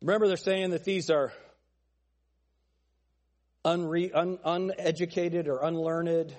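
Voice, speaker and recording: A male pastor preaching a fiery sermon with a thin, nasal voice, pressing his points with forceful, repeated phrases. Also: fairly high pitch, steady tone, slow pace, long reverb tail. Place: church sanctuary.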